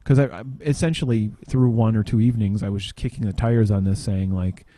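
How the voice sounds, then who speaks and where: A man giving an informal software demo, halting and tangential as he thinks aloud in casual talk. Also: fairly low pitch, steady tone, no echo. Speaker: podcast panelist demonstrating software.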